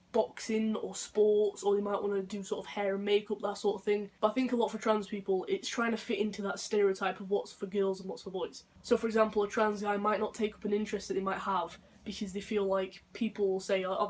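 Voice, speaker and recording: A young man with a deep voice, talking unscripted in a rambling, earnest vlog monologue. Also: level tone, fairly quick. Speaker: vlogger.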